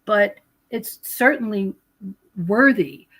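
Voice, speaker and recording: A woman's voice: mid-range voice, lively intonation, takes it slowly. Earnest woman explaining spiritual ideas. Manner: earnest, explanatory, quotes scripture. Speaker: podcast guest, author and researcher. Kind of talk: conversational spiritual teaching.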